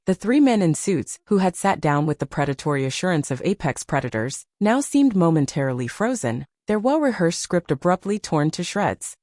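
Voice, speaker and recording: A woman's voice: fairly low pitch, big pitch swings, normal speed, hardly any pauses, a little mellow, no room sound, studio quality. A woman reading a dramatic scripted story as an audiobook narrator.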